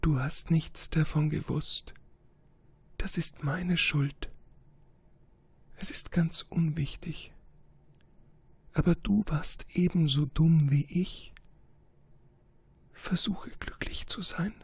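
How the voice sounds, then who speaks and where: A man's voice: fairly high pitch, steady tone, slow, creaky, pauses often, darkish tone, husky, no echo, voice pushed up, heavily acted. A man voicing a proud, delicate female flower in a storybook reading, speaking in a fragile, half-whispered plea. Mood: tender.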